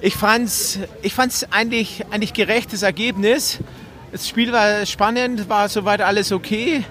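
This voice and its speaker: A man from northern Germany, a football fan answering a street interview outdoors, relaxed and a little hesitant. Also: high-pitched, a little slow. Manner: relaxed, hesitant, repeats himself.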